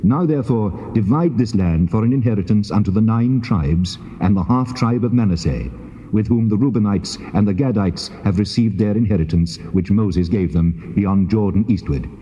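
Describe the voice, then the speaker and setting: A man playing God in a dramatized scripture reading, solemn and commanding, speaking in a stately, measured, declamatory way. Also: lowish voice, dark tone, natural voice. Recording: muffled tape, long reverberant hall effect.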